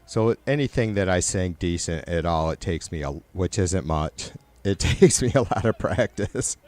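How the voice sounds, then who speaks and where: A middle-aged man with a nasal, raspy voice, hosting a livestream and chatting loosely with viewers, rambling and swearing. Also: low-pitched, lively intonation, normal speed, darkish tone, no echo. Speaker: livestream host. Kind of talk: casual chat with live viewers.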